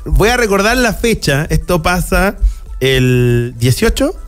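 Chilean male radio host, 40s, studio mic, relaxed questions. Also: high voice, slow, soft background music. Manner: relaxed, chatty, asks leading questions. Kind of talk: casual radio interview. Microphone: studio mic with headphones.

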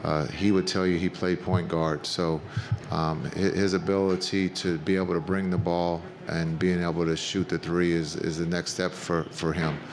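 Deep, flat-voiced coach at press table. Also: male voice, slight background noise. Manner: measured, plain-spoken, many fillers. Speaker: head coach. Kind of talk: postgame press conference answers. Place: press conference room with backdrop. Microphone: table microphone.

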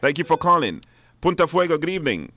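A man's voice: a little high, sing-song, fast, sharp tone, slight background noise. A man with a Belizean accent hosting a live radio call-in show, putting questions to callers. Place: radio studio.

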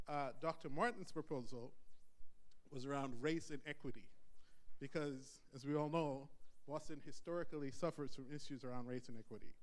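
Middle-aged guy introducing the keynote, fairly high voice, laid back, handheld mic. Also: rough recording. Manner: relaxed, chatty introduction with some reading aloud. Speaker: event host introducing the speaker. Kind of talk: speaker introduction. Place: large bright conference room.

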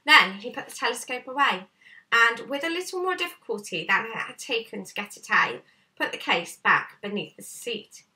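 Female storybook reader, thirties, teacherly, expressive delivery, recorded at some distance from the mic. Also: fairly high pitch, moderate pace. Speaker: teacher reading to pupils. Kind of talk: storybook narration read aloud. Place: small bright room indoors at home. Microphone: camera a short way off. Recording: slightly distant camera microphone.

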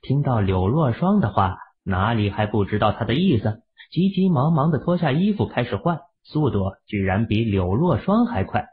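A man narrating a serialized audio drama in an expressive storytelling manner. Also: mid-range voice, moderate pace, hardly any pauses. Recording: tinny phone-like audio.

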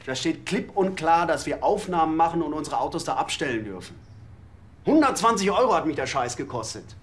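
Slick ad agency boss. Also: male voice, a little high, sing-song, brisk. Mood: indignant. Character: slick, indignant, flattering advertising agency boss. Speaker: actor in a TV police comedy.

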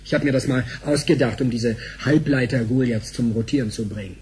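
An actor playing a cheeky, excitable young tech tinkerer in a radio drama, telling things animatedly in slangy talk with bits of English. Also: male voice, medium pitch, fairly quick, a little hiss or hum, close to an everyday voice. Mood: excited. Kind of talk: German sci-fi radio drama dialogue.

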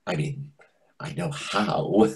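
A man's voice: lowish voice, takes it slowly, gravelly, slight background noise, full character voice. A man acting in a comic readers theatre play as a defensive, indignant restaurant waiter, over a video call. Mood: eager, hesitant. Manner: indignant, animated. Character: defensive, excitable restaurant waiter, longing for respect. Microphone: computer microphone on video call.

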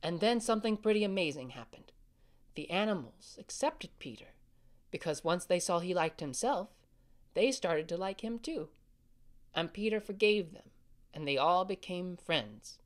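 An actor playing a caring older brother, gently soothing his little sister and telling her a bedtime story in a family audio drama. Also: high-pitched, lively intonation, normal speed.

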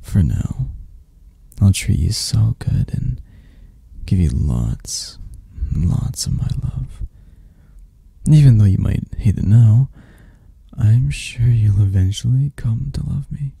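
A man voicing an obsessed, flirty yandere captor in an intimate ASMR roleplay, sweet and menacing, with lingering pauses. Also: on the low side, animated, dark tone, dry recording, clean studio sound, full character voice. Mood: coaxing menace. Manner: slow, intimate, breathy sighs and pauses.

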